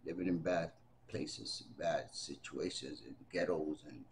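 A man's voice: rumbling low, unhurried, slight background noise. A man around sixty, born in Puerto Rico and raised in the Bronx, telling his story in an oral history interview with many fillers and restarts. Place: library room with bookshelves.

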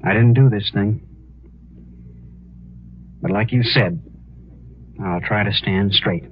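An actor playing an earnest, humble American prisoner in a 1950s radio western, speaking haltingly. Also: male voice, fairly low pitch, muffled recording. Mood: resigned, earnest. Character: earnest young condemned prisoner protesting his innocence. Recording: thin, noisy old radio recording.

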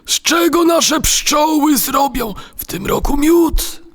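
A man acting a panicky medieval monk in an audio drama, lamenting in a drawn-out wail. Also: extremely high pitch, fairly bright tone, soft background music, exaggerated voice. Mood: despairing.

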